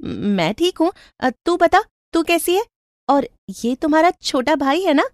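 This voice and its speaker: A woman voicing a rich, warm-hearted, bossy young woman in storybook cartoon dialogue, animated and affectionate. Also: high-pitched, a little slow, no echo, clean studio sound, pitched above the performer's own voice. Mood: friendly, chatty.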